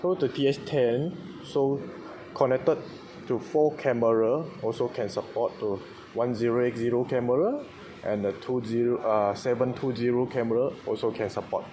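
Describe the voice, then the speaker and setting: A man with a Malaysian accent giving an informal product demonstration in short, clipped, halting phrases of simple English. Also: medium pitch, dark tone. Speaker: car audio installer demonstrating a product. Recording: noisy room with clear background noise.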